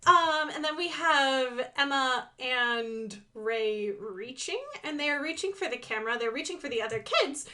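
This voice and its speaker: A woman's voice: sits high, pushed and bright. A woman giving casual, enthusiastic commentary on her own animation, playful, with sing-song asides and dramatic emphasis.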